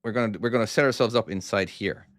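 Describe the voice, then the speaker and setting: A man's voice: fairly low pitch, fast talker, a bit bright, no echo. Chatty Irish man streaming a game. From Ireland. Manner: chatty, self-deprecating, answers chat. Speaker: game developer streaming his own game. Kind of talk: casual live-stream commentary.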